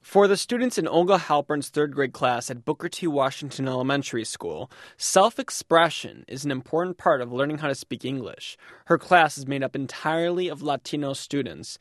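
A man's voice: fairly high pitch, animated, moderate pace, hardly any pauses, a bit bright, no room sound, studio quality. Man reporting a radio news feature.

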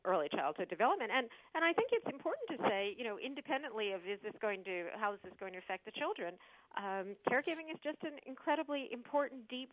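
An earnest woman scientist answering a radio caller, speaking in a middling pitch with many "um"s and restarts. Her voice comes through a tinny, phone-like band, and the sound is dry and close. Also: no room sound. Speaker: scientist guest.

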